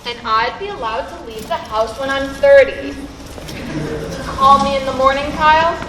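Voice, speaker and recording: A woman playing a modest, mild and polite high school girl in an amateur stage play. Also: normal speed, close to an everyday voice. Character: modest, well-liked high school homecoming queen. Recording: echoey stage with clear noise.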